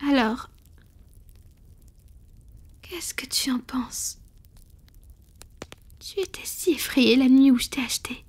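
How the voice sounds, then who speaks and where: Casting: tender, morally torn vampire lady played by a woman, high breathy voice, whispered intimate ASMR roleplay delivery. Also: darkish tone, exaggerated voice. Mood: vulnerable, hesitant. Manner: slow, intimate, hesitant. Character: tender, conflicted wealthy female vampire confiding in her beloved.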